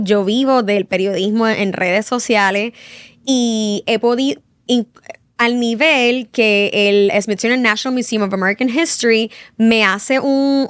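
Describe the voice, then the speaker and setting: A young Puerto Rican woman speaks as a journalist guest in a podcast interview. She tells her stories in a fairly high voice and slips English phrases into her Spanish. The recording is dry and close, with no room echo. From Puerto Rico. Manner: storytelling, mixes in English phrases. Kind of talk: casual interview conversation.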